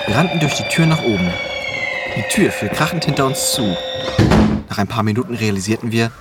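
An actor in a German audio drama playing a grave, guilt-ridden middle-aged man who tells his story in halting, ominous phrases. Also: on the low side, slight background noise.

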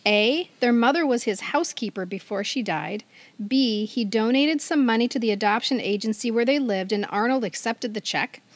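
High-voiced middle-aged woman podcast host. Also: normal speed, dry recording. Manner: playful, animated, jokey. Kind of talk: playful casual podcast chat.

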